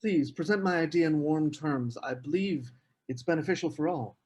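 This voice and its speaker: A man's voice: fairly high pitch, normal speed, darkish tone, slightly rough, highs cut off. A man at a screenplay table read playing a scheming, charming literary man with formal, earnest phrasing. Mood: earnest, persuasive.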